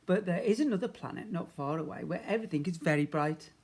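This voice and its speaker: A man's voice: sits high, fast talker, darkish tone, slightly rough. A man voicing a resigned waiter from a colourless world in a children's story, plainly warning. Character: resigned male waiter on a dull, colourless planet.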